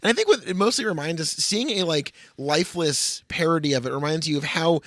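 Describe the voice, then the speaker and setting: A man's voice: a little high, animated, brisk, a bit bright, no room sound, studio quality. A man co-hosting a podcast in casual, profane comedic movie chat, joking and riffing with mock drama. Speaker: podcast co-host.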